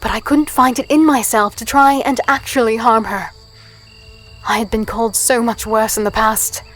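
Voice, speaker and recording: High-voiced, plucky young-heroine fighter woman acting. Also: steady tone, normal speed, fairly bright tone, soft background music, close to an everyday voice. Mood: tense storytelling. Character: plucky, spirited small female fighter and archer. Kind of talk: first-person dramatic narration and dialogue.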